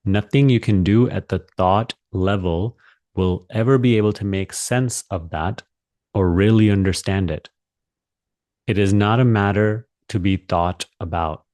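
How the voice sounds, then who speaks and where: A man leading a guided meditation as a teacher, speaking calmly and deliberately with long pauses. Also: fairly low pitch, no room sound, clean studio sound.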